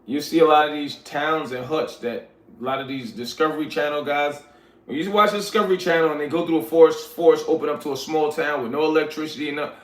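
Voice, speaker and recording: A man hosting a casual livestream, chatting to viewers in a laid-back, slangy way and calling them "y'all". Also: on the high side. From Liberia. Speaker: livestream host reading chat. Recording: roomy echoey sound.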